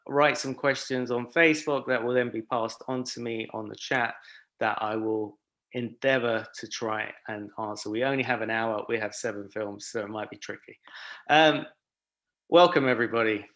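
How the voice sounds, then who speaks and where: A man's voice: mid-range voice, fairly even intonation, moderate pace, a bit bright. A man hosting a film festival panel over a video call, speaking in a friendly way with frequent fillers.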